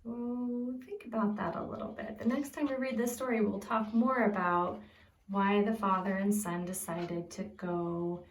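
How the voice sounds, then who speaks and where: Lady teacher doing a slow read aloud for little kids, asks them questions, roomy sound a bit far from the mic. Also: medium pitch. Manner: simple, expressive, prompting listeners with questions. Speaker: preschool teacher reading a picture book aloud. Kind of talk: read-aloud storytelling with questions to young children. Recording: echoey room, distant from the mic.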